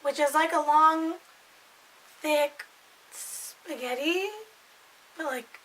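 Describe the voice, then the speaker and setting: A woman in her twenties with a hoarse, raspy voice, chatting casually to camera in a rambling way that loses its thread. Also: sits high, very slow, fairly bright tone, a little hiss or hum, low-quality recording. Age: 20s. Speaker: vlogger.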